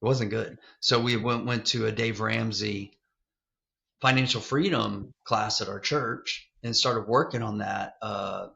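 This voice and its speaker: Fifty-year-old male guest, gravelly and bright, low-ish, candid storyteller, lo-fi sound. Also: a little slow. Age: 50s. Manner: loose, candid, peppers talk with "like" and "man". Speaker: real estate investor guest. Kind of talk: casual interview storytelling. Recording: dull lo-fi sound.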